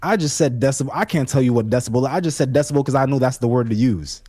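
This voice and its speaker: Man, laid-back podcast chat, soft-spoken, dry close sound, middle pitch. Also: fast, breathy edge, no room sound. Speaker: podcast co-host. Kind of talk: casual chat.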